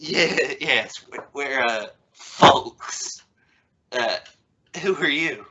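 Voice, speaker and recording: A woman's voice: extremely low pitch, expressive, very slow, bright, forceful tone, breathy edge, heavily acted. Woman playing a flustered radio-comedy dad, swooping wildly. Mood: frightened. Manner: stammering, sputtering. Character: flustered, exasperated father trying to sound reasonable. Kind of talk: old-time radio family comedy acting. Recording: noisy recording with clicks.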